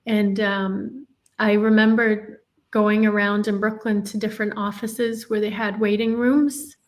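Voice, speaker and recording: A woman's voice: medium pitch, flat delivery, measured pace. A woman from Ireland, telling her life story in an earnest, reflective way as an interview guest. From Northern Ireland.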